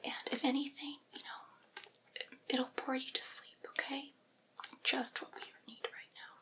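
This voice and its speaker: Woman doing a vampire's familiar asmr thing, all whispered, kinda high, soothing n gently teasing, devoted to her master. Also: flat delivery, measured pace. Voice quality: soft breathy whisper.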